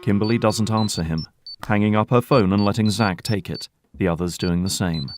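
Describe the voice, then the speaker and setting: A man's voice: on the low side, moderate pace, darkish tone, faint music behind. A man reading scripted narration for an audio drama.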